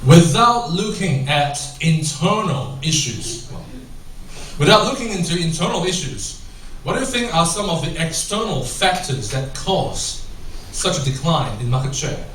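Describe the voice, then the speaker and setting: A man's voice: on the high side, lively intonation, measured pace, a bit bright. A man from Singapore with a mixed English and American accent, a corporate trainer giving a chatty talk and quizzing his audience. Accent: mix of English and American. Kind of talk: conference talk with audience questions. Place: large echoey hall with an audience. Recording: echoey hall, voice from a distance, noisy.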